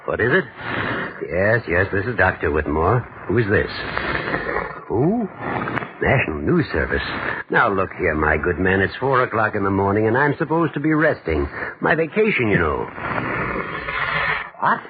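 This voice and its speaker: An actor playing a pompous university head in a 1940s radio drama, sputtering, indignant and repeating himself in disbelief. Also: male voice, fairly low pitch, very animated, normal speed, pauses often. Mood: irritated. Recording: noisy, muffled 1940s radio recording.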